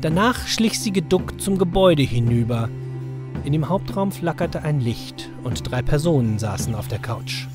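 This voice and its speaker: A man's voice: a little high, lively intonation, normal speed. A man narrating a scripted story for children as its storyteller. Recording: music under the voice. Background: music.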